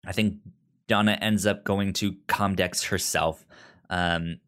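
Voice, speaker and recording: Slow, halting man on a podcast. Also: low voice, fairly even intonation, fairly bright tone, clear, resonant tone. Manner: halting, repeats words and stammers restarts. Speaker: podcast co-host. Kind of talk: casual TV recap chat.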